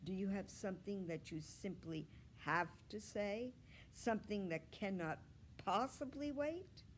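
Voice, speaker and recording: A woman's voice: medium pitch, unhurried, a little hiss or hum, lightly acted. A woman reading aloud as a patient, pleasant female primary school teacher, putting questions to a class in an instructive way.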